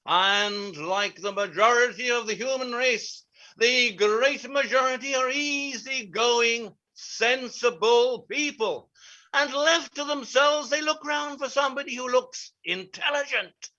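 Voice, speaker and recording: A self-important playwright, acted by a man, holding forth theatrically. His voice is high and forceful for a man and unhurried, lecturing with pompous relish through slightly dull audio. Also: exaggerated voice. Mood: smug. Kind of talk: theatrical monologue in staged reading.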